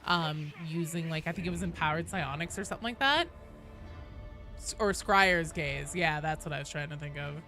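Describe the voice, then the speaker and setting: A woman's voice: low-pitched, normal speed, sharp tone, soft background music. A woman in her late thirties chatting casually on a game livestream and reading out viewer messages, informal and sweary. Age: late 30s. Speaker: game streamer.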